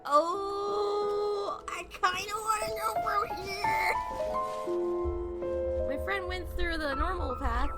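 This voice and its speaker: A woman with an extremely high voice comments casually on a game as she plays. She is slow-paced and expressive, stretching out her reactions over loud game music. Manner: expressive, drawn-out reactions, sweary. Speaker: let's play streamer.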